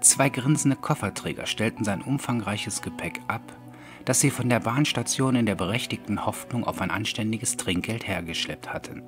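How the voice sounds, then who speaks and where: A man narrating a scripted horror audiobook in a measured, deliberate storytelling manner. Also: lowish voice. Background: soft background music.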